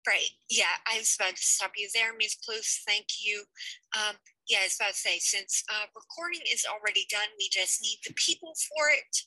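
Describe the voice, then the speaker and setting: A woman's voice: mid-range voice, normal speed, bright, forceful tone, no room sound, poor audio. A young woman talking casually over a headset on a video call from a small room at home, rambling and hesitant with many fillers. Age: young adult. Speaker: let's play project organizer. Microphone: headset mic on video call.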